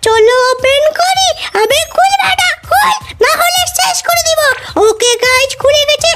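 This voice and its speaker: A woman voicing a threatening, easily confused villain in a cartoon game skit, squeaky and exclamatory. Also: lively intonation, a little slow, fairly bright tone, full character voice. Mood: menacing, confused. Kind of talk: scripted cartoon gameplay skit.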